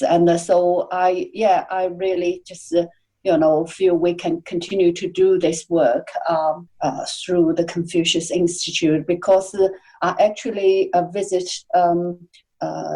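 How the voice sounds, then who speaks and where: Hesitant woman guest, mellow low voice. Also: poor audio. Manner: hesitant, many uhs and fillers. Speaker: podcast guest. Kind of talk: casual interview answers.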